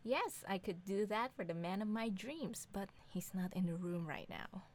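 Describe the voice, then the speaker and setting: A woman's voice: medium pitch, moderate pace, close to an everyday voice. A woman playing a sarcastic young mother who needles her partner with dry mockery in an audio drama. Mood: dry, teasing. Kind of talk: two-hander audio drama reading.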